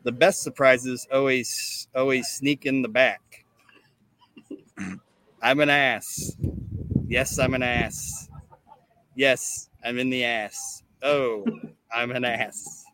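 A man hosting a casual comedy podcast, chatting and reading things out in a deadpan way. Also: medium pitch, drawn-out words, long pauses, dry recording, low-quality recording. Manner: deadpan, monotone when reading. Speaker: podcast host. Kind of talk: casual comedic podcast chat with mock recitation.

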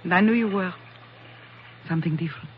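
A woman's voice: lowish voice, fast, natural voice. An actress playing a languid, seductive worldly woman in a 1940s radio spy drama, speaking intimately with lingering hesitations. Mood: intimate, wistful. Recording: muffled, noisy 1940s radio recording.

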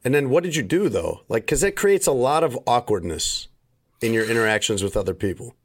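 A man hosting a podcast in casual chat, talking in an animated way, his voice still not fully recovered. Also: mid-range voice, a little fast. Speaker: podcast host.

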